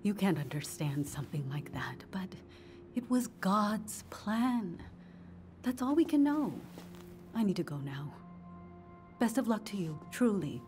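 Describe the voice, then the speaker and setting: A woman's voice: mid-range voice, very animated, unhurried, long pauses, darkish tone, faint music behind. An actress playing an evasive, pious middle-aged woman, guarded and dismissive, in a video game drama. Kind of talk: naturalistic video game acting.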